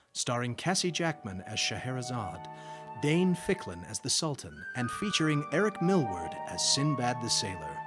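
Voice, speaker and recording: A male announcer delivers a scripted radio-drama outro. His pitch is mid-range but moves in a lively way, with prominent music underneath.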